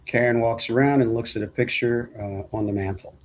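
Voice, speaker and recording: A man's voice: medium pitch, steady tone, a little fast. A man narrating stage directions at a film script table read in a plain, matter-of-fact way.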